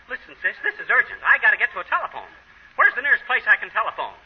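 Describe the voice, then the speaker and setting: An actor playing a blustering, impatient, flustered husband with folksy speech in a 1930s radio comedy, on an old recording. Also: male voice, high-pitched, very animated, very fast, dull audio, a little hiss or hum. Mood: frantic, exasperated.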